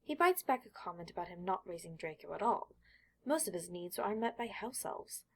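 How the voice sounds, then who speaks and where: A woman with a slightly husky voice reading scripted fiction as an audiobook narrator. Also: fairly low pitch, lively intonation, normal speed.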